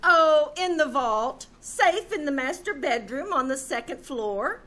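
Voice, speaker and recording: Shrill, gushing society hostess. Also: female voice, lively intonation, a little slow, bright, forceful tone, heavily acted. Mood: breezy, self-important. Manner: theatrical, effusive, coy. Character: gushing, flirtatious, vain wealthy society hostess. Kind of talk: old-time radio comedy acting on stage. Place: live small-town theater stage.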